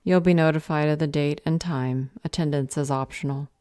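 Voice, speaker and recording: A woman playing a stern, bored council official in a fantasy audio drama, deadpan, clipped and sarcastic. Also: sits low, moderate pace, no echo, clean studio sound, lightly acted. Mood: bureaucratic.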